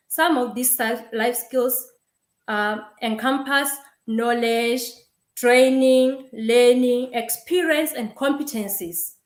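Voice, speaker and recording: Young Sesotho-speaking woman giving a webinar talk, fairly high voice, halting with fillers; video call, roomy sound. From Lesotho. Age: young adult. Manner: halting, with many fillers and repeated words. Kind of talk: online webinar presentation.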